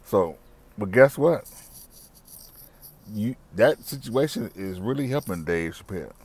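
A man's voice: fairly low pitch, animated, measured pace, a little mellow, breathy edge. A middle-aged man vlogging from a parked car through an earbud mic, ranting bluntly with slang and repeating his points. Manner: blunt, repetitive, preachy rant with slang and cussing. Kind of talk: casual commentary to camera. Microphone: wired earbud mic.

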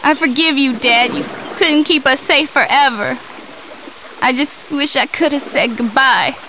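Mournful, gentle child for game cutscene; high voice amid very heavy noise. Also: female voice, measured pace. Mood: sad, forgiving. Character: sad forgiving dying child addressing father. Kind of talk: scripted game cutscene acting. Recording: very loud rain and background noise.

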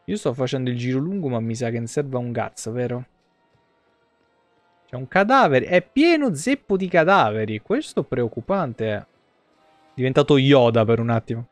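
A man's voice: medium pitch, expressive, normal speed, no echo, soft background music. A man in his thirties with an Italian accent doing casual, colloquial live gameplay commentary, swearing now and then. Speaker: video game streamer. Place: small indoor room.

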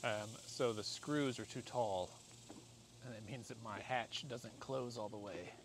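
A man's voice: fairly low pitch, a little slow. An American man in his forties talking through a hands-on job in a casual, rambling, self-deprecating way. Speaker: vlogger doing a product installation. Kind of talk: casual hands-on how-to vlog. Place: outdoors in a driveway and inside a parked car. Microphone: camera or phone mic, arm's length to a few feet. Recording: noisy outdoor and in-car camera audio. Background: clear ambient noise.

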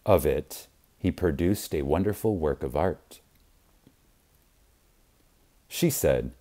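A man narrating an audiobook in a reverent, emphatic manner with deliberate, dramatic pauses. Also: deep voice, dark tone.

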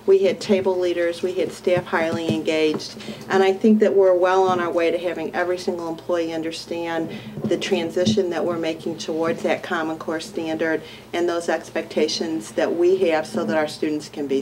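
A woman, the superintendent, giving an upbeat, earnest report to a school board meeting, with a few ums. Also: on the low side. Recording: noisy meeting-room recording.

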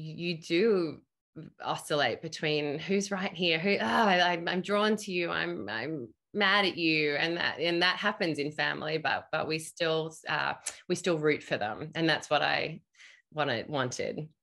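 A woman's voice: sits low, fairly bright tone. A middle-aged woman, a theatre director, thinking aloud in a talkback discussion on a video call. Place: room at home.

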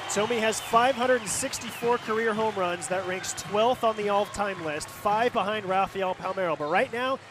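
High-pitched American baseball play-by-play man. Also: moderate pace, few pauses. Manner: descriptive, calling action as it happens. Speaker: radio play-by-play announcer. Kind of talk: live baseball radio commentary. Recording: loud stadium crowd noise behind. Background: ballpark crowd and outdoor stadium ambience.

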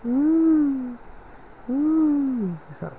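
A gravelly-voiced man chats his way along a clifftop, pointing things out as he walks. The camera audio is dull and muffled, and steady wind noise runs under every word. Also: extremely high pitch, drawn-out words. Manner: chatty, points out sights as he walks. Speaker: vlogger filming a coastal walk. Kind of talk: casual running commentary. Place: outdoors on a windy clifftop. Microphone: handheld action camera.

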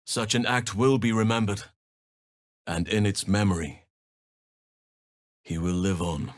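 An actor playing a gentle, solemn male elf druid healer in a video game, speaking in earnest, measured, formal phrases. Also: lowish voice, dry recording, studio quality, natural voice. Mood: calm, earnest. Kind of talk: video game character voice lines.

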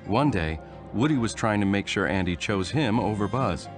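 Storybook narrator: man, very low voice, steady read-aloud delivery, music underneath. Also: moderate pace. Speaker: storybook reader. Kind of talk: reading a children's storybook aloud.

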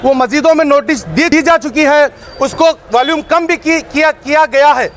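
A man delivers a fiery public statement in a very high, raised voice. He is emphatic and declamatory, like an activist addressing the press. He is recorded outdoors on a street, with faint noise around him. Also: normal speed, fairly bright tone. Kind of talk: impassioned public statement to news camera. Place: busy open street outside shopfronts.

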